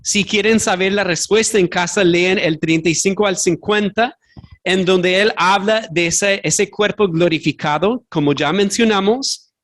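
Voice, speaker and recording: A male pastor preaching a sermon in a church, his voice sitting high for a man. He is earnest and direct. Spanish is not his first language, so he sometimes stops to hunt for a word and fixes his own slips aloud. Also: measured pace, fairly bright tone. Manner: earnest, searches for words and corrects himself aloud. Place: church sanctuary.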